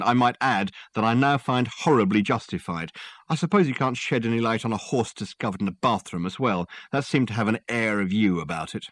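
A man voicing a weary, gruff male police sergeant who talks loudly and sarcastically. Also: mid-range voice, no room sound, natural voice. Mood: exasperated. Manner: loud, sarcastic, threatening.

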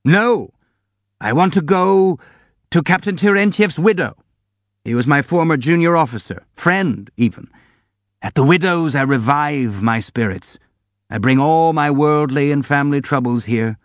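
A man's voice: a little high, animated, a little slow, no echo, lo-fi sound, voice pushed up. A man voicing an elderly, boastful army general who speaks in a grandiloquent, effusive way. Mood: pompous. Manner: grandiloquent, effusive, self-pitying.

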